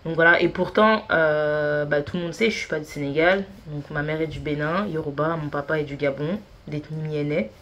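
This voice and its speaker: A woman's voice: low voice, fairly even intonation, normal speed, hardly any pauses, a bit bright, highs cut off. A woman in her thirties, a consultant guest on a podcast, talking with many hesitations and fillers. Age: 30s. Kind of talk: casual interview.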